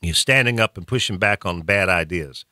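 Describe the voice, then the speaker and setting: A man's voice: sits low, moderate pace, sharp tone, dry recording, studio quality. A man ranting bluntly about politics on a talk radio show, stammering and repeating words as he presses his points.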